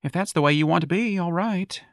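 A man's voice: high-pitched, brisk, dry recording, clean studio sound, voice pushed up. Man putting on a campy, shrieking young man. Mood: catty. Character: flamboyant catty young man, gleeful and teasing.

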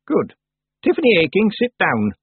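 A man playing an imperious, raspy ancient witch. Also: high-pitched, no room sound, narrow, tinny audio, pitched above the performer's own voice, exaggerated voice. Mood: imperious, annoyed. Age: over 100. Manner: brisk, stern, calm. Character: ancient imperious witch, woman, drily matter-of-fact.

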